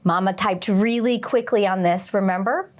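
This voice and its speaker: A woman teacher reading aloud and talking to young children, expressively, with questions and pauses for their answers. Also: mid-range voice. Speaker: teacher hosting a children's read-along. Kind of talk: read-aloud and lesson addressed to young children.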